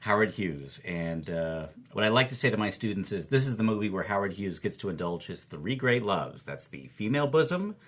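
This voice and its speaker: A husky-voiced man giving enthusiastic film commentary as a lecturer and host. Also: fairly low pitch, very animated, a little fast, hardly any pauses, fairly bright tone.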